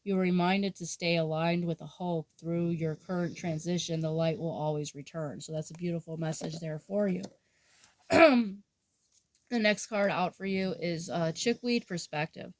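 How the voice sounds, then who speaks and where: A woman reading tarot in a soft, halting way. She sounds nasal and has a sore throat. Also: on the low side, steady tone. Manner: soft-spoken with frequent fillers and restarts. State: sore throat, under the weather. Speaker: tarot reader. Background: dog snoring.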